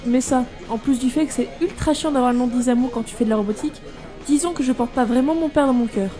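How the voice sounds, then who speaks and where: A woman's voice: sits high, fast, dark tone, soft background music, lightly acted. An actress playing a brash, irreverent young woman engineer in an audio drama, blunt, slangy and sardonic. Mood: bitter, resigned.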